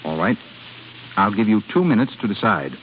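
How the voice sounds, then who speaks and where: An actor playing an ardent, possessive suitor in a 1930s radio drama, speaking in an impassioned, insistent, theatrical way. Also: male voice, on the low side, big pitch swings, normal speed. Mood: intense, possessive. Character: ardent, possessive male suitor pleading his love. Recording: tinny crackly 1930s radio broadcast, music behind.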